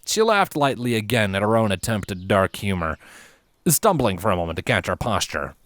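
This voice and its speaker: A man's voice: lowish voice, sing-song, moderate pace, a bit bright, dry recording, clean studio sound. A man reading a scripted fantasy story aloud as its narrator. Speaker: fan fiction reader, narrator. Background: background music.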